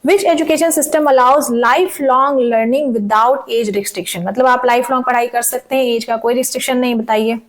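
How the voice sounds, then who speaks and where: Woman teacher, slightly raised pitch, sore throat, slow deliberate question reading, friendly checking-in. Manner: frequent "theek hai" checks, reads questions slowly in English. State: sore throat, voice cracks at times. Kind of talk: exam-prep lesson mixing Hindi and English.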